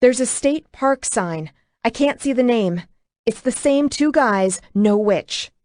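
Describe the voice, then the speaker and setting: A woman voicing a frightened young woman held captive, talking in hurried, breathless bursts. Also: medium pitch, little pitch movement, a little mellow, slightly rough, no room sound, clean studio sound, natural voice. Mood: tense. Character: frightened kidnapped young woman, pregnant, defiant.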